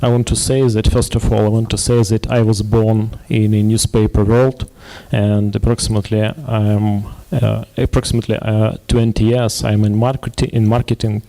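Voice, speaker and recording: A man from Ukraine in his forties with a low, gravelly and dark voice. He speaks haltingly on a business panel, pausing to search for words. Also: little pitch movement. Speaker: panel guest, digital agency executive. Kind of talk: panel discussion.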